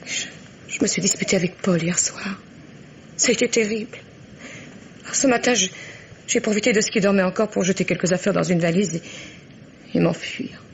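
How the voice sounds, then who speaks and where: A woman's voice: mid-range voice, steady tone, creaky, a little hiss or hum, lightly acted. Frightened wife, played by a woman, dull vintage radio sound. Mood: breathless, afraid. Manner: halting, hesitant, repeats words. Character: frightened, distressed wife fleeing a violent husband. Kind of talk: old French radio mystery acting.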